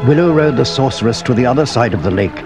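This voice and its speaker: Male storybook narrator over loud music. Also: mid-range voice, lively intonation, quick pace. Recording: loud orchestral music under the voice. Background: film score music and sound effects.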